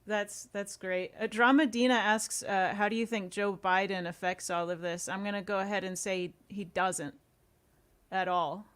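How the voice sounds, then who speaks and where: A woman chatting casually as a podcast guest, informal and slangy, quick to chime in. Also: mid-range voice, steady tone, fairly bright tone, clear, resonant tone. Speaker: podcast guest, comedy writer and performer. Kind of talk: casual chat.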